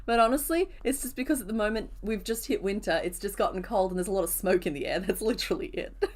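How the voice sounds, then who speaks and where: Hoarse-voiced woman chatting on a crochet stream. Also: medium pitch, quick pace. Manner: chatty, answers viewers' comments as she works. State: rough, hoarse voice. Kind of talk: casual live-stream chat while crocheting.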